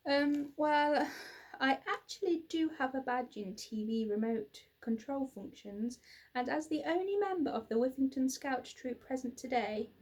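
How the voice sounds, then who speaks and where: A woman's voice: high-pitched, expressive, measured pace, a little mellow. A woman voicing a timid, earnest young scout boy in a storybook, hesitant and muttering. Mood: awkwardly earnest.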